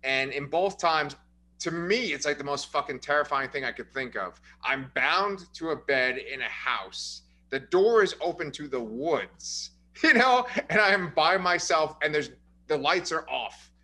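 A man's voice: a little high, a little slow. A man co-hosting a casual movie review podcast, telling scary scenes with lots of swearing and laughter. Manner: profane, laughing, animated storyteller. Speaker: podcast co-host.

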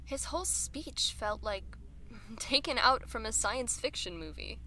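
A woman's voice: on the high side, lively intonation, measured pace, bright, forceful tone. An actress playing a poised female radio news anchor reading the news. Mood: formal. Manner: crisp formal newsreading. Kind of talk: radio news broadcast acting.

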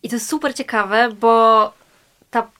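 A woman's voice: fairly high pitch, steady tone, fairly bright tone, smooth. Woman interviewer asking long questions. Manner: friendly, asks long probing questions, quick agreement sounds. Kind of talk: casual interview.

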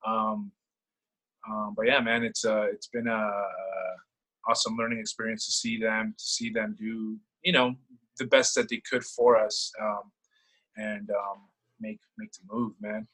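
A man's voice: fairly low pitch, measured pace, pushed and bright, breathy edge, poor audio. A young man from El Salvador talking casually and personally in conversation, searching for words and repeating himself as he goes. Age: young adult.

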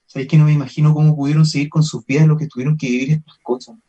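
Radio drama role: male actor for curious, thoughtful young man, Chilean accent, warm rounded voice, questioning manner. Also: fairly high pitch, little pitch movement, rapid-fire, lo-fi sound, close to an everyday voice. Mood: somber. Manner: questioning, earnest.

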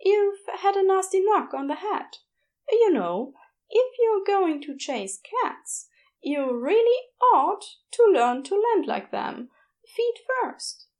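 A woman voicing a kindly, chatty male nurse in an audiobook, with a soothing, fussy and gently joking manner. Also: very high-pitched, sing-song, slow pace, pitched above the performer's own voice, full character voice. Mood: teasing. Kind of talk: expressive audiobook character voice.

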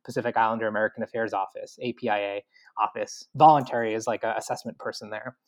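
A man's voice: fairly low pitch, fairly even intonation, fast. A man telling his career path in an interview, chatty, full of likes and fillers. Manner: chatty, many likes and fillers, acts out his own thoughts. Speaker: guest, university staff.

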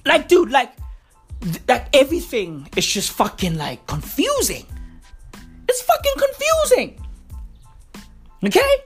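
Woman podcast host, hoarse, loud, swearing nonstop, slangy, fairly high voice, faint music. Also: wildly swooping pitch, unhurried, long pauses. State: hoarse, losing voice. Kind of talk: profane comedic rant.

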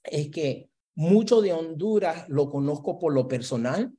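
A man with a slightly high voice and a Puerto Rican-influenced Spanish accent gives thoughtful answers as a guest. He grew up in Brooklyn and drops English words into his Spanish. He keeps checking in with "¿verdad?". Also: expressive, a little slow, dark tone, husky. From Brooklyn, New York. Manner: mixes English into Spanish, frequent "¿verdad?" tags. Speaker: academic guest. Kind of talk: reflective interview answers.

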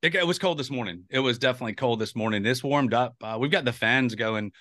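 Male podcast host, bright voice, dry sound, loose and rambling. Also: mid-range voice, fast talker, dry recording. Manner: rambling, restarts often, chuckles. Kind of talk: casual interview chat.

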